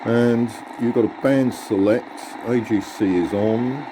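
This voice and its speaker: A man with a husky voice giving an unhurried, matter-of-fact hands-on demonstration of equipment. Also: medium pitch, background noise. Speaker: radio hobbyist presenter.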